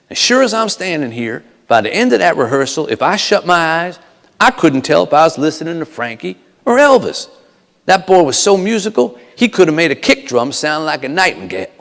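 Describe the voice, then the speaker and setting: A man's voice: fairly high pitch, gravelly, fairly bright tone, heavily acted. A man playing a folksy Southern backup singer, telling an old tour story in a colloquial drawl with a Southern US accent. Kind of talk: first-person storytelling at a funeral. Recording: echoey hall with long reverb.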